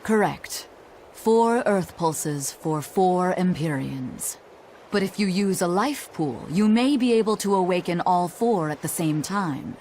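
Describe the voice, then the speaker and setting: A woman playing a calm, knowing scholar in a fantasy video game, explaining things in a measured way. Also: fairly low pitch, slight background noise. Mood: calm, explanatory.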